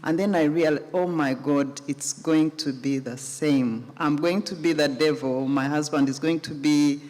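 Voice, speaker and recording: A middle-aged woman on a live panel speaks slowly and thoughtfully, restarting her phrases as she goes. A long reverb from a big hall hangs behind her voice. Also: sits low, fairly even intonation, a little mellow. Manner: measured, reflective, with restarts. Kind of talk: panel discussion at a live festival.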